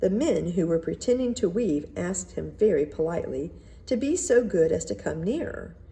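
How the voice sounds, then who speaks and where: Animated, mellow woman reading a storybook. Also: on the low side, normal speed, vocal fry. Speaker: storybook reader. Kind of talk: expressive children's story read-aloud.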